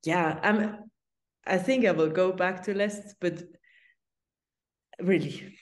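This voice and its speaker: A Swiss woman whose first language is Swiss German, speaking English with a Swiss German accent as a guest journalist in a casual interview, thoughtful and hesitant with many pauses. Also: lowish voice. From Switzerland.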